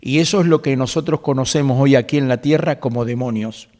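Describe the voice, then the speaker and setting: A man in his seventies with an Argentine accent, a pastor guest who explains scripture in a conversational preaching way, quoting verses and filling pauses with "este". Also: medium pitch, a little mellow, long reverb tail. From Galicia, Spain. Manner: quotes scripture at length, fills pauses with "este".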